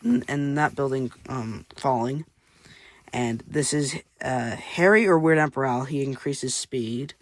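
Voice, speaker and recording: A casual creator narrates a homemade comic in a mid-pitched voice. The delivery rambles, with frequent unsure asides. The sound is dry, with very little room in it. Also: a little slow, no echo. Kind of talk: casual read-aloud commentary.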